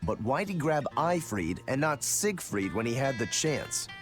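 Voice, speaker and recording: An actor playing a plain-spoken, puzzled fighter companion in a video game, with music clearly underneath. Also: male voice, mid-range voice, sing-song, a little slow. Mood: curious. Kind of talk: English video game dub acting.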